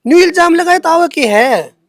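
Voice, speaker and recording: A young man with a Haryanvi accent speaks in a strikingly high-pitched voice. He is giving an interview in his own defence, colloquial and emphatic, often repeating himself. The sound is close and dry, with very little room in it. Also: lively intonation, normal speed, dry recording. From Haryana, India. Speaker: interviewee answering accusations against him.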